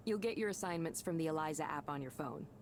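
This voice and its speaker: An actress playing a chatty, upbeat supervisor in a visual novel game, talking in brisk, reassuring corporate orientation patter. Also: female voice, deep voice, close to an everyday voice. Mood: upbeat, instructive.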